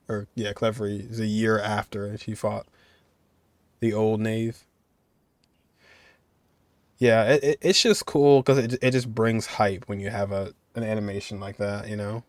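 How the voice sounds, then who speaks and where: A man's voice: fairly low pitch, no echo. A man streaming a game, giving informal commentary and thinking aloud in a casual way.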